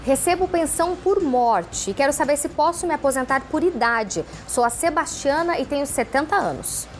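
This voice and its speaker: Lively high-voiced woman host reading questions. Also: moderate pace, slight background noise. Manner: reads messages in first person, plain and steady.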